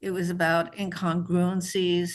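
A woman's voice: on the low side, level tone, unhurried. A middle-aged woman author raised in New York, answering interview questions in a conversational way with frequent restarts. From born in New Mexico, raised in New York.

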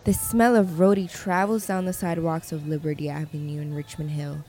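A woman reporter reading scripted narration in a measured, descriptive way. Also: sits low, flat delivery, warm tone, a little hiss or hum.

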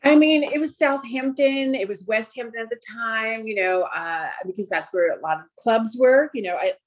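A woman's voice: fairly high pitch, moderate pace. A woman, a restaurant owner chatting in an interview, enthusiastic and full of "you know" fillers. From Brooklyn, New York. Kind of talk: casual interview chat.

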